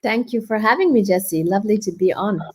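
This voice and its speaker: A woman raised in the Netherlands talks as a guest over a video call. Her voice sits at a middle pitch, and her manner is earnest and reflective. She laughs easily as she shares her story. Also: fast, darkish tone, slightly rough. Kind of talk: conversational interview, reflective storytelling.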